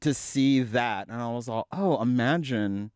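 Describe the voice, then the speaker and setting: A podcast host asking questions in a chatty, playful, rambling way during a casual interview. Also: male voice, mid-range voice, a little slow, darkish tone, smooth, no echo, clean studio sound.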